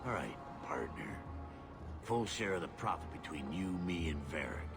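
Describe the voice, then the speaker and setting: Blunt, animated dwarf boss, music behind. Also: male voice, rumbling low, moderate pace, creaky, full character voice. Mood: impressed, eager. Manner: blunt, brusque. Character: gruff, suspicious, money-minded male dwarf expedition boss. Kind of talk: video game voice acting. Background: loud music.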